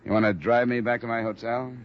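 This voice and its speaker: A man's voice: medium pitch, fairly even intonation, fast talker, close to an everyday voice. A man playing a stern, world-weary insurance investigator with an American accent and clipped, pointed delivery, in a 1950s radio detective drama. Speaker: lead actor and narrator. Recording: muffled, hissy vintage radio recording.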